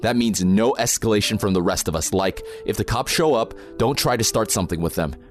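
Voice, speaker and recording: Guy acting a practical protest organizer in a podcast drama, lowish voice, briefing people plainly, protective. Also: brisk, soft background music, natural voice. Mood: firm briefing. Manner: instructive, informal, matter-of-fact. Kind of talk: naturalistic audio drama acting.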